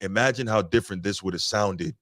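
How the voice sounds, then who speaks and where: A man co-hosting a casual sports podcast, making long, measured points. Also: lowish voice, a bit bright, no room sound, clean studio sound. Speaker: podcast co-host.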